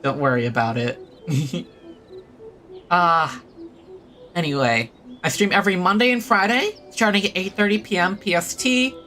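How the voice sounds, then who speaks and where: A game streamer chatting casually to viewers, rambling and low-key with a self-deprecating touch. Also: moderate pace, long pauses, pushed and bright. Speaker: livestreamer playing a video game. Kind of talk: casual livestream chat and game commentary.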